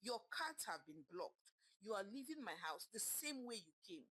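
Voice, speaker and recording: A woman with a Nigerian accent voicing an angry, betrayed wealthy husband who shouts his accusations with a cracking voice. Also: mid-range voice, big pitch swings, moderate pace, husky, dry recording. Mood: cold, furious.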